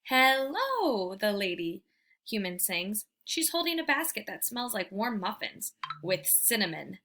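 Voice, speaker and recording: A woman reading a children's book aloud as a storyteller, with expressive, emphatic delivery. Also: medium pitch, unhurried. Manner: animated, emphatic, theatrical delivery.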